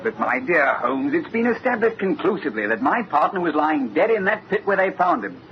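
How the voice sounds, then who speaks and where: An actor playing a scornful, condescending sceptic in an old-time radio mystery, with theatrical delivery. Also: male voice, a little high, sing-song, brisk. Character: scornful, condescending man dismissing supernatural tales. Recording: muffled, hissy old radio recording.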